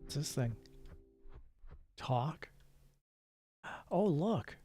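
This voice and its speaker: A man's voice: fairly high pitch, animated, drawn-out words, dark tone, faint music behind. A man streaming a game, switching between playful chatter and theatrical story read-aloud.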